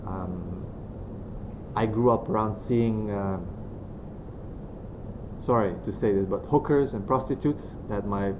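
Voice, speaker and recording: A man from Romania gives a talk on faith to a room of students. He speaks in a fairly low voice, softly and without hurry. The recording is muffled and has a steady noise behind it. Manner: soft-spoken, unhurried, many pauses and fillers. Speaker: guest speaker. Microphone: venue microphone. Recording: dull lo-fi sound with clear background noise.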